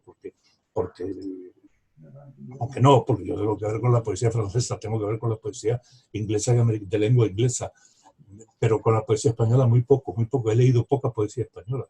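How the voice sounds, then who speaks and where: A husky, very breathy older man in his seventies, from Morocco, with a soft, mellow tone. He rambles as a novelist guest at a book club over a video call, restarting sentences and trailing off into reflective asides. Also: medium pitch, steady tone, quick pace, low-quality recording. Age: 70s. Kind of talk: casual reflective conversation.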